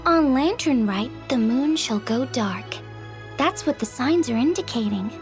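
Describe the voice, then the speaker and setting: A woman playing an earnest young village woman in a video game, wide-eyed, expressive and a little flustered. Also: sits high, a little slow, faint music behind.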